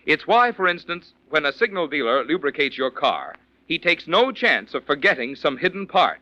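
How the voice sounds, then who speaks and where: A man doing polished, emphatic radio announcing and sponsor pitches in a 1940s style. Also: very animated, normal speed, sharp tone, no echo. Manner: polished, emphatic sales delivery. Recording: dull, dry 1940s radio recording.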